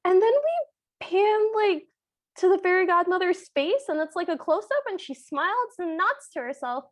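High-pitched woman chatting through a film recap. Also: lively intonation, moderate pace. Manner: wry, uses "like" often. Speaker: podcast co-host. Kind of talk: casual, joking film recap.

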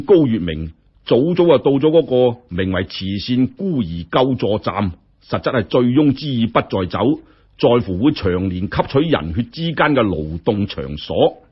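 A male storyteller with a Hong Kong Cantonese accent narrates dramatically. His voice is husky and moves up and down a lot. The recording sounds dull and lo-fi. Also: medium pitch.

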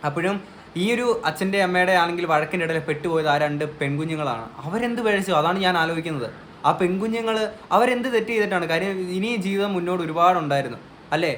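A man's voice: high voice, fast, a bit bright, a little hiss or hum. A man giving earnest, emphatic opinion commentary as a vlogger on a news case.

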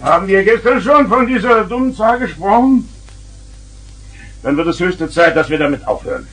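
An actor playing a grumpy, easily exasperated father who blusters and scolds, in a husky voice, in a 1970s children's radio play. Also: high-pitched, lively intonation, moderate pace, a bit bright, a little hiss or hum. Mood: grumbling.

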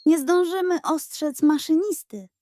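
A woman's voice: very high-pitched, unhurried, warm tone, dry recording, voice pushed up. A woman voicing a loyal, eager young male deputy in a bedtime audio story, with a wry, quipping way of talking. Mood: urgent.

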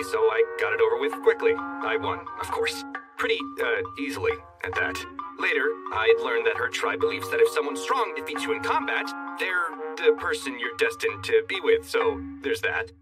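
Casting: male actor for a smug, wry young animated hero. Expressive narration, slightly off-mic over clear music. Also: mid-range voice, normal speed, far from the mic, lightly acted, rough recording. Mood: wry narrating. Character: cocky sarcastic young male fantasy hero. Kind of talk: animated dub narration and banter.

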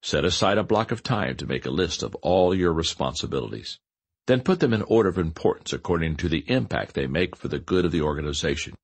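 A man's voice: deep voice, very animated, a little fast, slightly rough. A man reading a nonfiction audiobook in an instructional way, stressing key points. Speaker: audiobook narrator. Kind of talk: scripted nonfiction audiobook narration.

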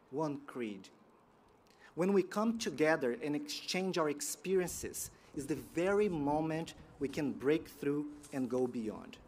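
A man, giving a prepared graduation keynote in a measured, earnest way from a podium outdoors. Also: a little high, a little hiss or hum. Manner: measured, earnest delivery with pauses. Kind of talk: prepared commencement speech. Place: outdoor sports field ceremony. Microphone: podium microphone.

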